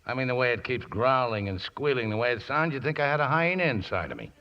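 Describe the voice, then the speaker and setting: Riverboat skipper, middle-aged, sheepish and unschooled, folksy delivery. Vintage radio drama, lo-fi sound. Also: male voice, medium pitch, very animated, quick pace. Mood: sheepish, chatty. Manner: folksy, hesitant, ungrammatical. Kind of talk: 1950s radio drama acting. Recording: muffled vintage radio broadcast.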